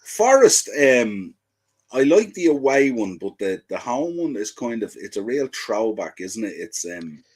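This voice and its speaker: A man's voice: medium pitch, very animated, measured pace, husky. A man co-hosting a casual sports podcast, chatty and easygoing, often stumbling and restarting his sentences. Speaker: podcast co-host. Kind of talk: casual chat.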